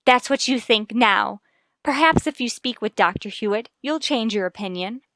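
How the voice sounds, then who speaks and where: A woman's voice: on the high side, lively intonation, moderate pace, bright, forceful tone, no room sound. An actress playing an earnest, determined wife and worried mother in a radio soap, speaking in a firm, formal, reasoning way. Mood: calm, insistent. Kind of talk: radio soap opera acting.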